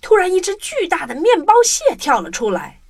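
A woman's voice: very high-pitched, wildly swooping pitch, moderate pace, music in the background. A woman narrating a children's story as scripted audio drama.

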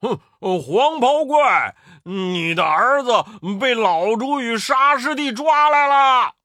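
一位男子在故事中扮演猪八戒，说话吞吞吐吐，嗯嗯呃呃。. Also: male voice, on the high side, wildly swooping pitch, slow, dry recording, studio quality, heavily acted. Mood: sly. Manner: hesitant, hemming and stammering. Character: lazy scheming male pig monk.

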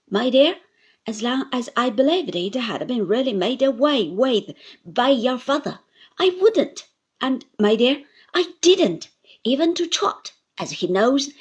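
A woman reading aloud, voicing a fierce, blunt elderly aunt who speaks loudly and emphatically. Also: high voice, slightly rough, exaggerated voice. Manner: loud and emphatic.

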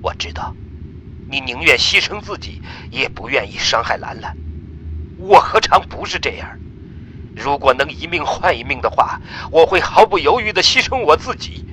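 Man acting solemn mission team leader, tense bright mid-range voice, consoling, pleading, radio drama, faint background noise. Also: on the high side, very animated, moderate pace, low-quality recording. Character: earnest male space mission team leader, consoling, burdened.

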